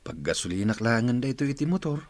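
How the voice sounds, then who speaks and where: A man's voice: mid-range voice, very animated, fast talker, no echo, close to an everyday voice. An actor playing a weary, struggling working-class husband and father in a radio drama. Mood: burdened, plaintive. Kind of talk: radio drama acting in dialogue. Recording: radio drama with loud music behind. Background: loud background music.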